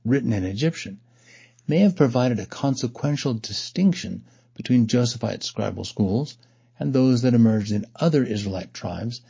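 A man reading a scholarly essay aloud in a measured, formal voice. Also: medium pitch, lively intonation, creaky, warm tone, husky. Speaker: reader. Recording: dull lo-fi recording.

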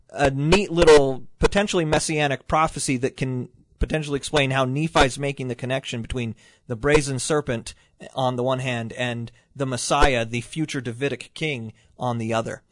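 A man's voice: medium pitch, moderate pace, no room sound. A man in a casual group discussion, speaking thoughtfully with some hesitation and fillers.

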